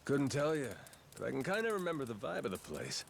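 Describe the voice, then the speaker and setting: Swingy, folksy easygoing game gangster. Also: male voice, mid-range voice, wildly swooping pitch, fast, slight background noise. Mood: easygoing, wry. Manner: folksy slangy drawl, rough and teasing. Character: gruff, easygoing amnesiac Yakuza man, playful and grateful. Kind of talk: video game cutscene acting. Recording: faint music behind the dialogue.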